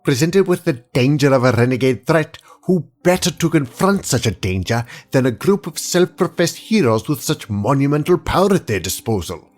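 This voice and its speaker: Man playing a precise, haughty inspector with lively intonation. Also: mid-range voice, close to an everyday voice. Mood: defensive, precise. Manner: formal, measured, pedantic. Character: precise, haughty male alien inspector, coolly calculating official. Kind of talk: audio drama acting.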